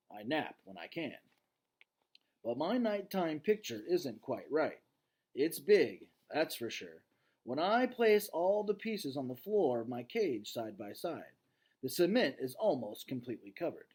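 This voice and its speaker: A man's voice: medium pitch, very animated, unhurried, breathy edge. A man speaking as a teacher reading aloud to students, conversational and casual, often checking in with his listeners as he comments.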